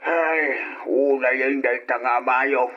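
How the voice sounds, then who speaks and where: A man playing a kindly, concerned old grandfather in a radio drama, husky and slow, giving advice. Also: mid-range voice, fairly even intonation, lightly acted. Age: older. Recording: dull, lo-fi old radio recording.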